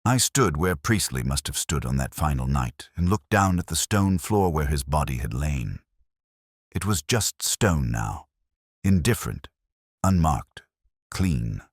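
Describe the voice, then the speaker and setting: A man giving scripted first-person detective narration in a measured, reflective, solemn manner. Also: rumbling low, big pitch swings, slightly rough, dry recording, studio quality.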